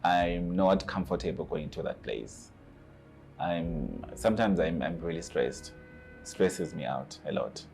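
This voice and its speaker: Low-voiced man, earnest testimony. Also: animated, a little slow, creaky, a little mellow, soft background music. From Zimbabwe. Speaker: asylum seeker telling his story. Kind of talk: personal testimony to camera.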